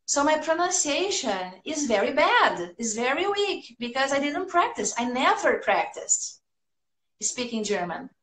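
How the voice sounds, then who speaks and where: Woman, Brazilian accent, thirties, fairly high voice, chatty livestream teacher, roomy echo. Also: big pitch swings, unhurried, fairly bright tone. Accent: Brazilian Portuguese. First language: Portuguese. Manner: chatty and upbeat, laughing often. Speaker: English teacher. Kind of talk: casual livestream chat answering viewers' comments. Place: small room at home, indoors. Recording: echoey small room.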